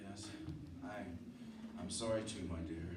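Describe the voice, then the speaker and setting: A man's voice: lowish voice, steady tone, unhurried, background noise, lightly acted. A man acting a tense, grim, protective husband and father in a radio drama, also narrating in the first person. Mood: irritated, contrite. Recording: roomy, distant radio-play recording with loud music.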